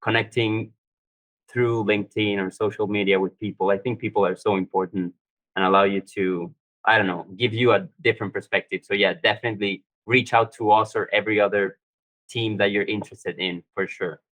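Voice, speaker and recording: A man with a fairly low voice speaking on a careers panel. He talks casually and loosely, often dropping in "like". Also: brisk, poor audio. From Colombia. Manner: relaxed, uses many "like" fillers.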